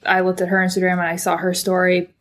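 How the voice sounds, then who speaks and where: A woman's voice: lowish voice, completely flat delivery, quick pace. A young woman from California, a PhD student, chatting casually as a podcast guest, rambling with many fillers and restarts. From California, United States. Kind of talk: casual interview chat.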